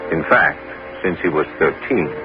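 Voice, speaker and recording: An actor in a 1970s radio drama playing an adventurous former musketeer turned bishop, courteous and gently probing, in a measured theatrical manner. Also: male voice, rumbling low, animated. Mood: storytelling, resolute. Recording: dull 1970s radio recording with music.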